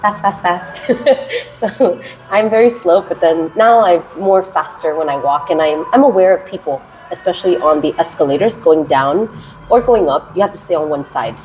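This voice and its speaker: A woman from the United States in her twenties, answering interview questions casually and with energy; she speaks with a naturally loud voice that she keeps held down. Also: fairly low pitch, poor audio. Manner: animated, chatty, drawn-out words. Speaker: interviewee, English teacher.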